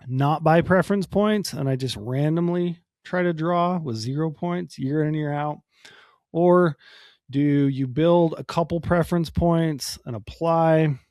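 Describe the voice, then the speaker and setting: Hoarse man explaining casually. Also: on the high side, dark tone, no echo, studio quality. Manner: casual, explanatory, many ums and you-knows. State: hoarse from a cold. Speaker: podcast host. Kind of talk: solo podcast talk.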